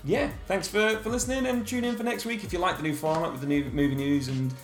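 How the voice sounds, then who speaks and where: A man's voice: high voice, fairly even intonation, quick pace. A man co-hosting a film podcast, chatting casually and joking. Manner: laughing, joking banter. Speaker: podcast co-host. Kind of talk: casual chat. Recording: echoey room with music underneath.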